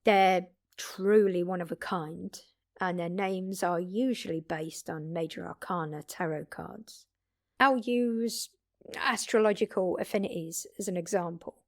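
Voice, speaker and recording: A woman's voice: on the low side, fairly even intonation, measured pace. A woman playing a confident, wry female vampire mentor in an audio roleplay, explaining things to one listener in a chatty, informal way. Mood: explanatory, skeptical.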